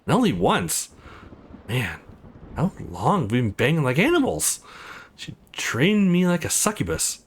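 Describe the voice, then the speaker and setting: Man as a smitten, playful young man, very animated. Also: on the high side, a little slow, slightly rough, pitched above the performer's own voice, full character voice. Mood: awestruck. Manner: musing, playful inner monologue. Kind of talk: visual novel character voice.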